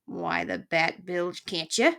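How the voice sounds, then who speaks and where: Storybook old porcupine, male, played by a woman: low, creaky, cantankerous, scornful, slangy and quick to insult. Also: sharp tone, exaggerated voice. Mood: scornful, irritated. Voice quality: growling, gravelly. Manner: barking colorful insults, folksy slangy speech.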